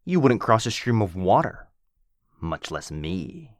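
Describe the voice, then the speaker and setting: Man doing a flirty male werewolf, teasing, possessive, low-pitched, unhurried, dry close sound. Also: big pitch swings, no echo. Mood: playful.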